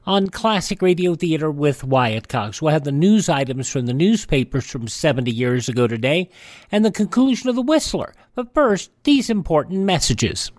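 A man's voice: a little high, very animated, moderate pace, darkish tone, no echo. An American man hosting a radio show, presenting and reading news items. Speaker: radio host.

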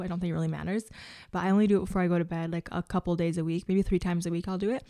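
A woman's voice: fairly low pitch, a little mellow, dry recording, clean studio sound. A woman of twenty-five chatting casually and enthusiastically about things, with a girly, chatty manner, as a beauty content creator. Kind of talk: casual chat.

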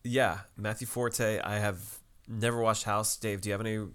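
A young man co-hosting a podcast, relaxed and laughing often as he recaps a TV episode. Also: on the low side, moderate pace, clear, resonant tone. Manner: laid-back, laughs often, dry remarks. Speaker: podcast co-host. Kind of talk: casual TV recap chat.

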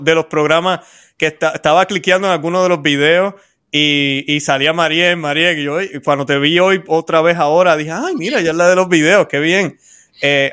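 A Puerto Rican man hosting a faith interview show, talking in a rambling way with many restarts. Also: a little high. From Puerto Rico. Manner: warm-hearted, rambling with restarts. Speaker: host.

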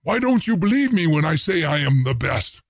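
A man voicing a gruff, booming, hot-tempered male ironsmith in a storybook reading. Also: very animated, moderate pace, dry recording, studio quality, full character voice. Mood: indignant. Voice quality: gravelly, booming.

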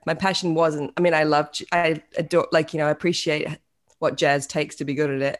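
Low-pitched New Zealand woman in her thirties, casual video-call guest, chatty with lots of fillers. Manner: chatty, self-deprecating, many fillers and restarts. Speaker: guest musician.

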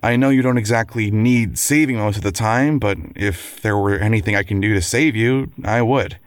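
A man's voice: lowish voice, moderate pace, smooth, no echo, natural voice. Wry, devoted lawyer husband, acted by a man. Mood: earnest, tender. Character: Trevor, devoted, argumentative husband who works as a lawyer. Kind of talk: audio drama dialogue.

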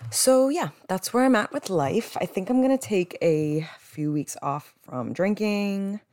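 A woman's voice: medium pitch, lively intonation, unhurried, a little mellow, no echo, studio quality. A young American woman from New Jersey talking casually on a solo podcast, candid and rambling, with "like" sprinkled throughout. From New Jersey, United States. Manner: chatty, rambling, candid, frequent "like". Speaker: podcast host.

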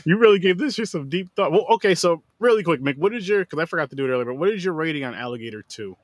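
A man's voice: fairly high pitch, lively intonation, very fast, dry recording. A man hosting a film podcast in a casual, chatty style.

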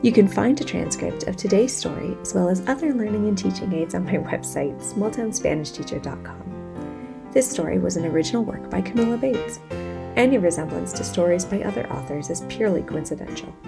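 A woman's voice: fairly low pitch, lively intonation, moderate pace, creaky. A woman host reading a scripted closing announcement in a plain, friendly way. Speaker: podcast host. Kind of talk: scripted outro. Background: guitar music.

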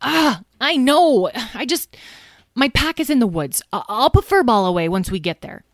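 Woman acting an excitable, high-pitched young boy adventurer. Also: normal speed, no room sound, clean studio sound. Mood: apologetic, pleading. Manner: earnest, flustered, rambling excuses. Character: excitable, apologetic boy adventurer attached to his kitten. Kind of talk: children's audio story.

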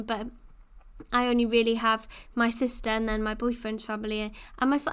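Chatty young woman, smooth voice. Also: a little high, a bit bright. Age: 20s. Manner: chatty, peppered with "like" and "you know". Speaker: podcast host. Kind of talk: casual solo chat.

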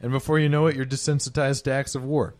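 A man's voice: medium pitch, little pitch movement, fast, darkish tone, no room sound. A man co-hosting a podcast in casual joking chat, giving wry one-liners and laughing often.

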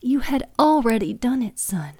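A woman voicing a sad older mother in an audio drama, gentle, knowing and resigned. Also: a little high, very animated, moderate pace, breathy edge, no room sound, heavily acted.

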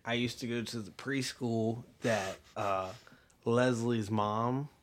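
A man's voice: medium pitch, fairly even intonation, takes it slowly. A young man in casual chat, hesitantly recalling childhood memories. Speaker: friend in group chat.

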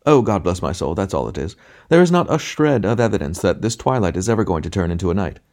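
Storybook smug intellectual played by male narrator: cultured diction, lecturing, pompous, fairly low voice. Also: very animated, quick pace, darkish tone. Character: cultured, fat, smugly optimistic gentleman.

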